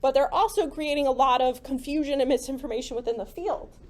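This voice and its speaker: A woman giving a classroom lecture in a conversational way, full of "like" and "right?", her voice slightly hoarse from talking. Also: high voice, fast, darkish tone. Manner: conversational, many "like" and "right?" fillers. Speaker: psychology lecturer. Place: lecture room.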